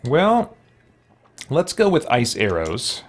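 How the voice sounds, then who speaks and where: A man hosts a casual video game playthrough in a lively, varied voice at a middling pitch. He thinks aloud as he goes, dropping muttered asides and small exclamations. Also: slow pace. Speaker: gaming video host. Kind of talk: casual let's play commentary.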